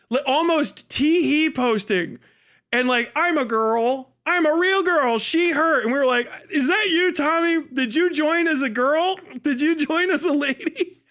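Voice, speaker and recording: A man's voice: very high voice, fairly bright tone. A man hosting a livestream, reacting to a video with casual, profane, sarcastic and giggly commentary. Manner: mocking, sarcastic. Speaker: livestream host.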